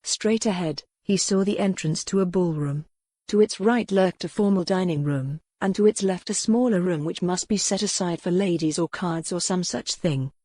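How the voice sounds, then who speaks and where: Mellow low-voiced woman narrating. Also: moderate pace. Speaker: audiobook narrator. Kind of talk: scripted narration.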